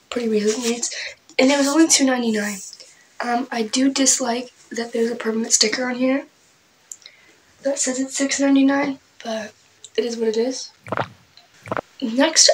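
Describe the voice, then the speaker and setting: A young woman chatting casually about her book haul, clearing her throat often as she loses her voice, her asides trailing off soft and breathy. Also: on the high side, slight background noise, poor audio. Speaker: book vlogger. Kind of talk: casual book haul chat with read-aloud summaries.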